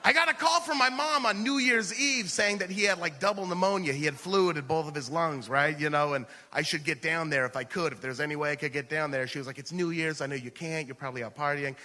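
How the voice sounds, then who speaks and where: A man's voice: fairly high pitch, fast talker, hardly any pauses, bright, forceful tone. An American man doing comedic personal storytelling on stage, chatty and full of "like" and "you know.". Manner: conversational, peppered with "like" and "you know". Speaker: stand-up comedian. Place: theater stage at a live radio show. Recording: live stage in a reverberant hall.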